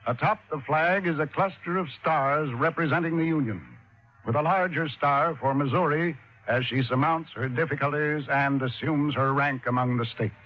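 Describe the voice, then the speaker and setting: A man announcing and narrating from a script in a formal old-time radio style. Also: mid-range voice. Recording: dull old radio recording.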